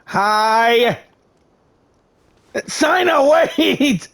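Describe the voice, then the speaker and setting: A man giving casual, slangy, excitable commentary as he plays through a video game. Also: very high voice, very slow. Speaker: gamer streaming a playthrough.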